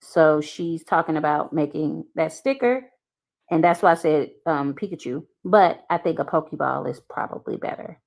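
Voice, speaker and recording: A woman's voice: low-pitched, normal speed, gravelly, a little mellow. A woman co-hosting a casual livestream, bantering with opinions. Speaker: livestream co-host.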